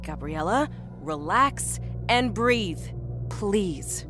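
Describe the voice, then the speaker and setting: Woman acting harried, bright-voiced female nurse. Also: on the high side, animated, moderate pace, soft background music, pitched above the performer's own voice. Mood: firm reassuring. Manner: urgent, strained, stammering. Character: harried, short-tempered woman nurse under strain. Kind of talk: dramatic audiobook character acting.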